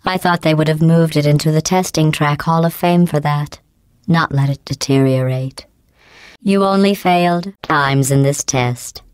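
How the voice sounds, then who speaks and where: A woman voicing a cold, sarcastic female AI that runs test chambers, delivering mocking insults in a clipped, deadpan game voice. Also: deep voice, unhurried, clean tone, no room sound. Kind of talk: video game voice clips.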